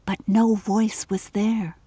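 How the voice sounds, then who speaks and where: A woman narrating a scripted audiobook story in a measured, deliberate, literary manner. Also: mid-range voice, level tone, warm tone, breathy edge, no echo.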